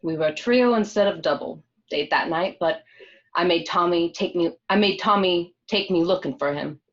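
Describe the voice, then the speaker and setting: Low-voiced, exasperated young woman played by an actress. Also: moderate pace, close to an everyday voice. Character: annoyed young woman recalling a bad date. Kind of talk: dramatic table read, narrated recollection. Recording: dull lo-fi home call audio.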